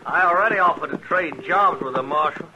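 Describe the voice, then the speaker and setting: An American actor playing a confident, joshing cowhand in a 1950s western radio drama, with folksy, teasing talk. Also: male voice, on the high side, brisk, highs cut off, close to an everyday voice. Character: joshing, confident male cowhand on cattle drive. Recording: noisy old radio recording.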